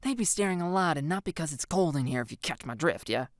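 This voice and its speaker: A woman's voice: sits low, animated, quick pace, dry recording, studio quality. A woman voicing a wry, teasing teenage girl who speaks in sardonic, murmured asides in a story. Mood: wry, unimpressed.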